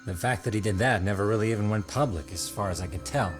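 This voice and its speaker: An actor playing a measured, analytical internet investigator explaining findings in a video game cutscene. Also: male voice, fairly low pitch, soft background music, natural voice.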